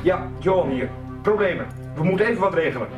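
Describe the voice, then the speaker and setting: A man playing a smooth-talking, pushy and flirtatious sales manager in a radio soap, with a slick, familiar manner. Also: sits high, animated, quick pace, highs cut off, over music. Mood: tense, curt. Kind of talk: 1990s Dutch radio soap acting. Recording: roomy, off-mic, noisy radio drama scene.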